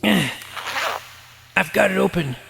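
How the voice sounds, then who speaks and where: An actor playing a nerdy middle-school boy who narrates his own superhero exploits in a dry, self-important, deadpan way. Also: high-pitched, a little slow, creaky, slightly rough, no room sound, exaggerated voice. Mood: earnest. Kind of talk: scripted audio drama narration.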